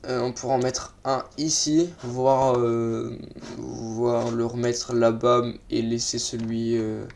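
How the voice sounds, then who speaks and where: Male let's play host, slightly congested, casual and hesitant, mid pitch, faint noise with keyboard clicks. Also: flat delivery, slow, vocal fry, darkish tone. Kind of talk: casual gameplay commentary. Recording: noisy mic with keyboard clicks.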